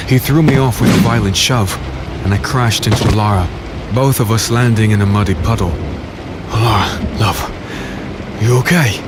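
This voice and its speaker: An actor playing a defiant, battle-worn swordsman who narrates and speaks in a dark fantasy audio drama, gritty and earnest. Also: fairly low pitch, lightly acted. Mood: tender teasing. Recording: loud storm noise under voice. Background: rain, thunder and storm ambience.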